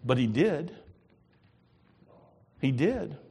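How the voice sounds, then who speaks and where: An older man preaching a sermon as pastor, lively, swinging from loud to soft. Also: a little high, extremely slow, gravelly, husky, big-room sound.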